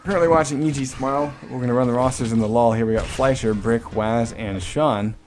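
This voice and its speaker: A man's voice: mid-range voice, brisk, a little mellow. A man giving casual, joking play-by-play commentary on an online game match, swearing freely. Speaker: esports commentator.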